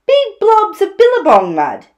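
A woman's voice: very high voice, huge pitch swings, unhurried, voice pushed up, heavily acted. A woman voicing a friendly male platypus in a storybook, speaking emphatically as he gives advice. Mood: chirpy, advising.

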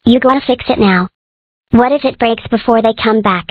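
A woman's voice: a little high, moderate pace, dry recording, narrow, tinny audio, lightly acted. A woman voicing a small, pouty, childish lightning bug creature in a cartoon comic dub, whiny and childlike. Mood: anxious, pleading. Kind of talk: comic dub character acting.

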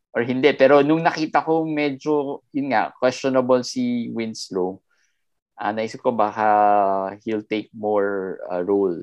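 A man's voice: medium pitch, no room sound, highs cut off. A young Filipino man co-hosting a fantasy basketball show over a video call, talking casually and mixing Tagalog with English. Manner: relaxed, code-switching Tagalog and English. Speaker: fantasy basketball podcast co-host. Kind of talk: casual chat.

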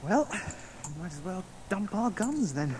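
A man's voice: sits high, sing-song, measured pace. An actor playing a plucky, earnest young male adventurer with an English accent in a radio drama. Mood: earnest, determined. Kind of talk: radio drama acting with narration. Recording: noisy radio drama sound effects behind.

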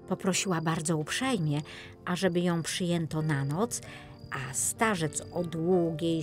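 A woman reading a children's fairy tale as a scripted audiobook narration. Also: on the low side.

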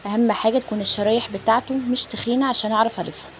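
A woman's voice: on the high side, fairly even intonation, racing. A woman with an Egyptian accent casually narrating a home cooking tutorial, chatting informally and directly to her viewers. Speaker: home cook presenting a recipe. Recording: phone recording with clear background noise.

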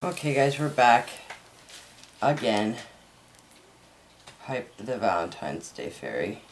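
A middle-aged woman chatting casually through a craft demonstration, low-key and half muttering to herself, recorded in a noisy room at home. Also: big pitch swings, takes it slowly. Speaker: soap maker and host. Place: indoors at a home work table. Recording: noisy room, household background noise.

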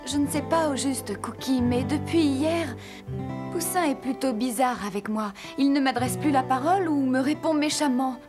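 A woman voicing a sweet, earnest young princess in a French cartoon dub, with expressive delivery. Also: high voice, moderate pace, close to an everyday voice. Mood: worried, gentle. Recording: loud music under the voice.

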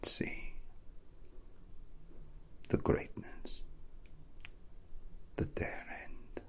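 A young man playing a menacing, grandiose villain scientist in an ASMR roleplay, whispering breathily and theatrically with deliberate, threatening pauses close to the mic. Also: fairly bright tone. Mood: menacing, mocking.

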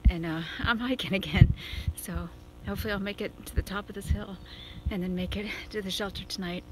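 American woman vlogging over clear outdoor noise. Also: mid-range voice, steady tone, brisk. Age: middle-aged. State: tired. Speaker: thru-hiker vlogger. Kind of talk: casual trail vlog to camera. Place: outdoors on a wooded mountain trail. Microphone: phone at arm's length. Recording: clearly noisy outdoor phone recording.

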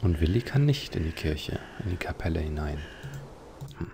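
A man giving casual, musing, unhurried commentary as he plays a video game. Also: sits low, animated. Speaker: let's player.

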